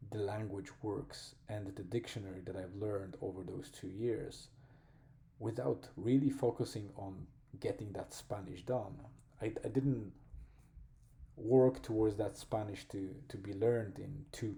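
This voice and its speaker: A man with a Polish accent talks through a personal vlog in a low, very dark and mellow voice. He speaks slowly and thoughtfully, often stopping to search for the right word. The delivery stays calm and reflective throughout. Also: gravelly. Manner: slow, thoughtful, pausing to find words. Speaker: vlogger.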